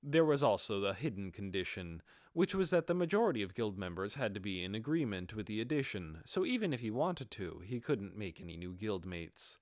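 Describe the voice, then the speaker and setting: A man reading a fantasy audiobook as narrator, in an expressive scripted storytelling style. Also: on the low side, fairly quick, hardly any pauses, no room sound, studio quality.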